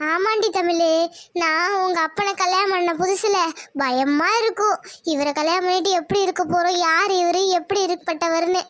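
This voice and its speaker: A woman's voice: very high-pitched, normal speed, fairly bright tone, no echo. A woman playing a tearful village mother. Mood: scolding, fond. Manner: tearful, fretful. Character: anxious village mother grieving lost wedding savings.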